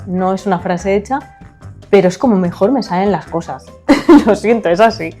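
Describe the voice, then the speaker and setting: A woman writer from Spain with a Spanish accent, answering interview questions in a soft-spoken, chatty way and laughing easily. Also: mid-range voice, normal speed, faint music behind. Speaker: writer, podcast guest.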